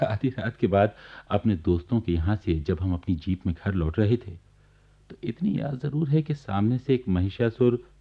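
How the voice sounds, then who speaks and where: An actor playing a genial, wry government officer laid up in bed, confiding in the listener as a radio play narrator. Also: male voice, on the low side, normal speed. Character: genial bedridden government officer recounting his neighbours' life. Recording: dull archival radio recording.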